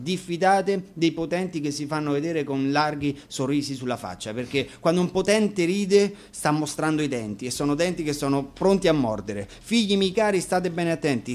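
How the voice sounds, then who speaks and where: Man chatting between songs. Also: on the high side. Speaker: singer introducing songs as a guest. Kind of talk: casual spoken introductions between live songs. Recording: live radio session with acoustic music.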